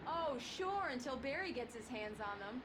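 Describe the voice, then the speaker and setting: A woman's voice: high voice, animated, normal speed, noisy background. A woman playing an affectionate, teasing wife in a video game, with an intimate, natural delivery. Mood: playful. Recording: music under the voice. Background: background music.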